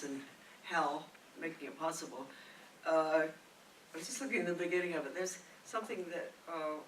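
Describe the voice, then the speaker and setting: A woman's voice: lowish voice, a bit bright, distant mic, slight background noise. An older woman giving a rambling, chatty speech of memories to a gathering, often asking a friend to remember. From Maine. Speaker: honoree's friend and speaker. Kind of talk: casual reminiscing speech. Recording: echoey room picked up from afar.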